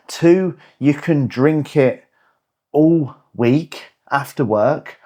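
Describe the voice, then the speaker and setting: A man's voice: medium pitch, a little mellow. A man in his forties, a coach hosting his own podcast, talking bluntly and emphatically and swearing for emphasis. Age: 40s. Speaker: fitness and lifestyle coach, podcast host. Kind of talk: motivational podcast monologue.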